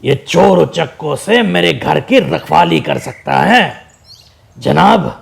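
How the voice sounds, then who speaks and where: A man voicing a wary, haggling buyer with a gravelly, husky voice and a doubtful manner. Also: fairly high pitch, animated, slow, heavily acted.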